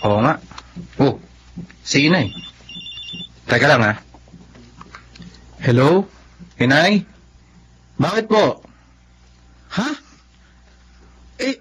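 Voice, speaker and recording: A man's voice: fairly high pitch, sing-song, very slow, pauses often, a bit bright, breathy edge, heavily acted. A man with a Filipino accent playing an earnest, wounded young husband in a radio drama. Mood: distracted. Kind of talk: Tagalog radio drama acting. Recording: dull lo-fi radio, faint noise.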